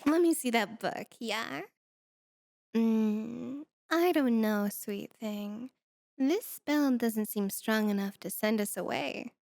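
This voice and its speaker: A flirty succubus demoness, acted by a woman, speaks in a fairly high voice, close and dry. She is sultry and confident, coaxing and teasing her listener as in an intimate ASMR roleplay. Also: slow, gravelly, darkish tone, dry recording.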